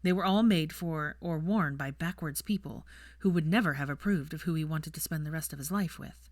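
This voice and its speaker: A woman's voice: sits low, a little fast, no room sound, studio quality. A woman reading fiction aloud as a scripted story narration.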